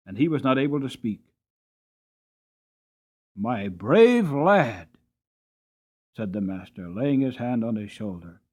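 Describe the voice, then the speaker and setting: A man narrating an audiobook as a dramatic, expressive storybook reading. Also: medium pitch.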